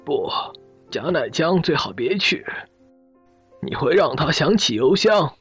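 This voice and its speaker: A man's voice: a little high, sing-song, measured pace, a bit bright, breathy edge, faint music behind, exaggerated voice. An actor playing a sardonic but courteous man of a wealthy family in an audio drama. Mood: courteous, cautioning.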